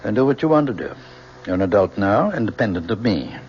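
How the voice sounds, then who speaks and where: Disapproving father, low, grave, reproachful, on a dull vintage recording. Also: very animated, quick pace, a little mellow. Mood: cold, reproachful. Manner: grave, reproachful, clipped phrases. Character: stern, disapproving father of a grown daughter. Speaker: actor in a radio drama. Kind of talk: old-time radio drama acting. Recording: muffled old radio recording, faint noise.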